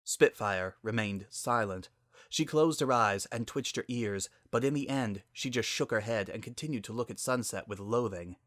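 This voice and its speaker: A man's voice: lowish voice, moderate pace, fairly bright tone, no echo, clean studio sound. A man narrating a story in a dramatic, scripted reading style.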